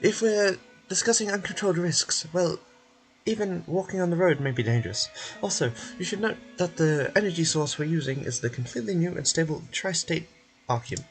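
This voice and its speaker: A man's voice: fairly high pitch, lively intonation, a little fast, soft background music, low-quality recording. A man voicing a polished institute spokesperson in a video game, explaining things formally with courteous, firm assurance. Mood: composed.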